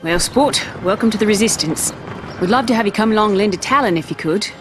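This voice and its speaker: A woman's voice: medium pitch, fairly quick, natural voice. Woman as a plucky owl rebel, music behind. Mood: cheerful, welcoming. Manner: breezy, slangy, cheeky. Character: breezy, plucky female owl resistance fighter. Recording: music under the voice.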